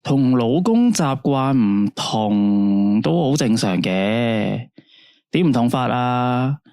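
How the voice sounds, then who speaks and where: A man's voice: medium pitch, lively intonation, slow, creaky, mellow, clean tone, dry recording. A man playing a chatty, easygoing late-night radio host in a radio drama, speaking Hong Kong Cantonese in a playful, conversational way. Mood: friendly, curious.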